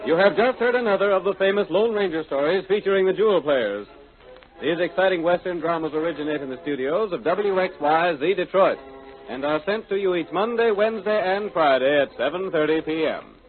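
Vintage male radio announcer, tinny sound. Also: sits high. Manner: formal, measured. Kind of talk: 1930s-40s radio announcing, scripted. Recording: tinny old radio broadcast. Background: music.

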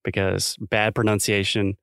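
A man's voice: on the low side, little pitch movement, measured pace, gravelly, fairly bright tone, clear, resonant tone, dry recording, studio quality. A man from South Carolina co-hosting a podcast, talking thoughtfully and explaining with examples in a casual chat. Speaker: podcast co-host.

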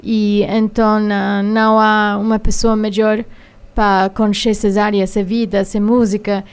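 A woman with an American accent, a singer speaking haltingly as a radio studio guest, pausing often with filler sounds. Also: medium pitch, flat delivery, clear, resonant tone. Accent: American English. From United States. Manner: hesitant, many filler sounds while finding words. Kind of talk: radio interview.

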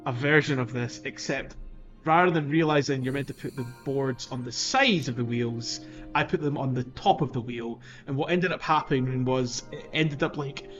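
A man's voice: medium pitch, a bit bright, highs cut off. A male podcast co-host with a middle-range voice talks casually about a video game. He rambles in a loose, chuckling way, with faint music playing underneath. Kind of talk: casual two-man video game playthrough chat.

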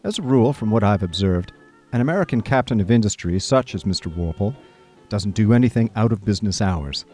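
A man playing a chatty, idle young gentleman who narrates a comic radio drama in breezy, old-fashioned upper-class phrasing. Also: on the low side, expressive, brisk, soft background music. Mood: amused explaining.

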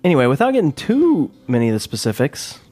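Male, casual review podcaster, rapid and lively delivery over faint music. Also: mid-range voice. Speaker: podcast co-host reviewing a film. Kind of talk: casual chat.

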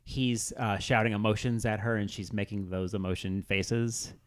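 Low-voiced man chatting about pop culture. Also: steady tone, normal speed. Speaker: podcast co-host. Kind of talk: casual pop culture chat.

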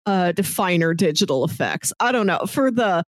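Animated woman in casual podcast chat. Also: on the low side, normal speed, no echo, studio quality. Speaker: podcast co-host. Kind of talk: casual film discussion chat.

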